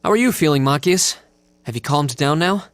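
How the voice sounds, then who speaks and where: An actor playing a calm, fair-minded young male student in a video game, speaking in a level-headed, earnest way. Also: a little high, normal speed, dry recording. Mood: calm, thoughtful.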